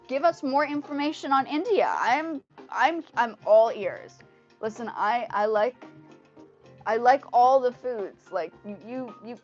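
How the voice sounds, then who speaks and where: A woman from Indonesia, a native Indonesian speaker, hosting a casual livestream in a rambling, animated way, often repeating her phrases. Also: fairly high pitch. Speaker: livestream host. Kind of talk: casual livestream chat with viewers. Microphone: earpiece mic on a phone. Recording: dull lo-fi livestream audio through earpiece mic.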